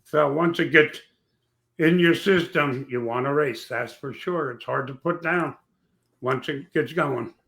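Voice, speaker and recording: A man with a raspy, gravelly voice chats in a loose, folksy way, full of fillers and asides. His tone is bright and forward, and he sits a little higher than most men. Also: a little slow. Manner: rambling, folksy, with many fillers. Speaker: podcast guest, former track owner. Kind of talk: casual chat.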